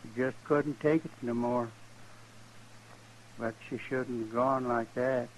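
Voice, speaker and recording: An American actor playing a dazed, grieving older homesteader in an old-time radio western, with plain, halting country speech. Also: male voice, mid-range voice, fairly even intonation, a little hiss or hum, natural voice, low-quality recording. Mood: grieving, ashamed.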